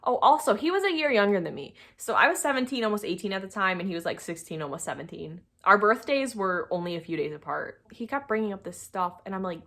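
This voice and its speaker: A woman's voice: mid-range voice, lively intonation, fast, few pauses, fairly bright tone. A young adult woman chatting casually as she tells a personal story, acting out bits of past conversations with exasperated asides. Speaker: storytime video creator.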